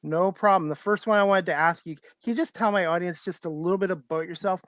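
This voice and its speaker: A man's voice: high-pitched, quick pace, a bit bright, no room sound, studio quality. A man hosting a casual talk show interview, chatty and agreeable with his guest. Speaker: podcast host, interviewer.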